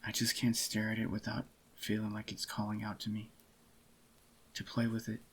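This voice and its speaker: A man doing a soft-spoken, intimate ASMR roleplay as an adoring, possessive boyfriend, in a slightly groggy, unhurried murmur. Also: on the low side, completely flat delivery, a little hiss or hum. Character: adoring possessive young boyfriend, tender and clingy. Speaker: ASMR voice actor.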